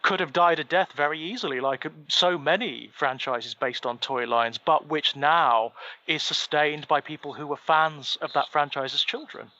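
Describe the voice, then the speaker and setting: A man co-hosting a casual podcast conversation, speaking in a measured, articulate and slightly formal way. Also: on the high side, bright, forceful tone, highs cut off, slight background noise. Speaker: podcast co-host.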